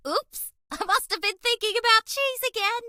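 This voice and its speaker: Girlish alien mouse, video game character, coy, playful, dramatic, very animated, slow-paced. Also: very high voice, no room sound, studio quality, exaggerated voice. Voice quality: cutesy, girlish.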